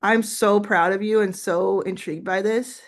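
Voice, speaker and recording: A woman's voice: medium pitch, steady tone, normal speed. A woman hosting a casual livestream, chatty and encouraging as she gives feedback and thinks aloud. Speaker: livestream host giving business coaching. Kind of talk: casual live coaching chat.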